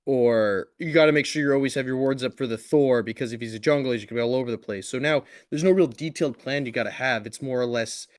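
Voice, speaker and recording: A man giving live esports commentary and analysis as a shoutcaster. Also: medium pitch, fast, hardly any pauses.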